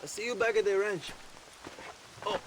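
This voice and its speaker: An actor playing a charming, vain Mexican revolutionary leader in a video game, with a Mexican accent and a pompous, theatrical way of speechifying. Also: male voice, sits high, normal speed, slight background noise, close to an everyday voice, low-quality recording. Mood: breezy. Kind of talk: video game cutscene acting.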